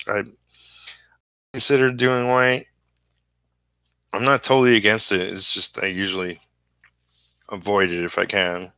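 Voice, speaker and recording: A man with a fairly low, sharp-edged voice talks in a dry, close sound. He rambles casually as if to a livestream while working, halting often and easygoing about his own shortcomings. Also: no echo. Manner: halting, trailing off mid-thought. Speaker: comic book inker live streaming.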